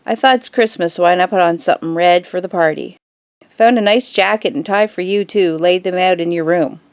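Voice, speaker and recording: A woman playing a gentle, reassuring middle-aged mother of a teenage son in an audio drama, speaking tenderly. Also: fairly low pitch, a little fast, smooth, no echo, studio quality, lightly acted. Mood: affectionate.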